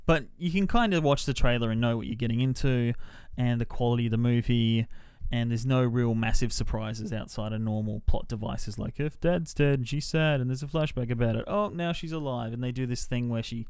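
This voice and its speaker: Man, film reviewer, vocal fry, mid pitch, dry sound, informal, measured opinions, casual chat. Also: a little mellow, no room sound.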